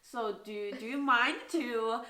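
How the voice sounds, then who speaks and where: Halting woman host with a higher voice. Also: bright, forceful tone. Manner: hesitant, halting, giggly. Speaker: podcast host. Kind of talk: casual podcast interview. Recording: echoey room.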